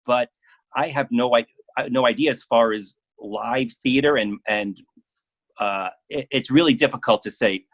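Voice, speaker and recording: A middle-aged man talks in a casual, conversational way, as a guest in an interview. His pitch sits in the average range for a man. He is halting, often doubling back on words while he thinks. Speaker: interview guest, playwright and proofreader.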